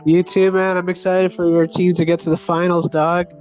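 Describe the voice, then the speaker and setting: High-voiced man, slightly muffled, blunt and slangy. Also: little pitch movement, normal speed. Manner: blunt, slangy, swears freely. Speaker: podcast co-host, sports commentator. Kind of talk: casual sports banter. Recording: muffled lo-fi audio, faint music.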